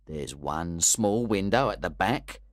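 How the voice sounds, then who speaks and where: A man voicing a rough, menacing male housebreaker who speaks slowly and threateningly. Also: low-pitched, expressive, no room sound, studio quality, voice pushed down. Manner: slow, threatening.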